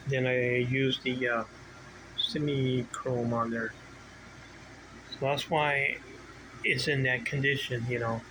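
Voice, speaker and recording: Man, middle-aged or older, medium pitch, casual hobbyist walkthrough, halting and rambling, clearly audible background noise. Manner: rambling, halting, self-interrupting. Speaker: bicycle collector showing his collection. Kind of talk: casual unscripted video walkthrough. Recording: clear steady background noise, ambient hum.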